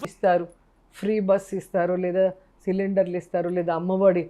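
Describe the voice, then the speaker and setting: A woman's voice: fairly low pitch, level tone, normal speed, a crowd in the background. A woman talking as a politician for her party in a political interview. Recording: noisy interview clip audio.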